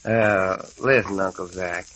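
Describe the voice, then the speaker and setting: An American actor playing a friendly, inquisitive young cowboy in an old-time radio western. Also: male voice, sits low, fairly even intonation, takes it slowly, a bit bright, rough recording. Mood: amazed. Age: young adult.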